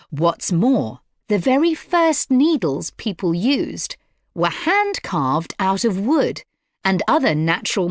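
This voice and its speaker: A woman delivering a scripted educational lecture in a measured, clearly enunciated, instructive way. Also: mid-range voice, no echo, studio quality. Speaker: lecturer in an exam listening recording.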